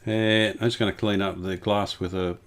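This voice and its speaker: Male repair vlogger, low pitch, casual and unscripted, plain matter-of-fact delivery. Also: little pitch movement, normal speed. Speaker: restorer presenting a hands-on vintage radio repair. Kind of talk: casual workbench commentary.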